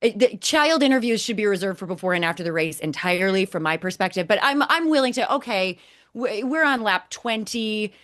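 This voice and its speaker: A woman's voice: medium pitch, expressive, a little fast, a bit bright. A woman co-hosting a motorsport podcast, giving emphatic, critical commentary and explaining point by point. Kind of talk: opinionated podcast commentary on race broadcasts.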